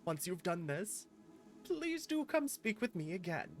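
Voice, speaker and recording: A woman's voice: on the low side, big pitch swings, unhurried. A friendly, patient garden keeper from a cozy game, read aloud by a woman, explains things courteously in slightly formal phrasing. The delivery takes its time and moves expressively up and down, with music playing behind. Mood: patient, encouraging. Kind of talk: reading cozy video game dialogue aloud.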